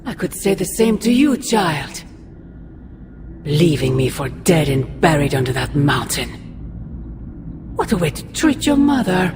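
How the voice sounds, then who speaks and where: A woman playing an ancient demonic sorceress mother in a game cutscene, menacing and mocking, with a grandiose, deliberate delivery. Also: sits low, big pitch swings, background noise. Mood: menacing, taunting. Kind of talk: dramatic video game cutscene acting. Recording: long cavernous reverb under loud music.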